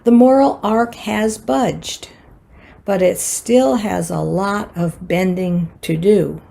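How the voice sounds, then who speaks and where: An older American woman reading a prepared personal reflection for a worship service in a measured, earnest manner. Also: mid-range voice, vocal fry, warm tone. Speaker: worship associate.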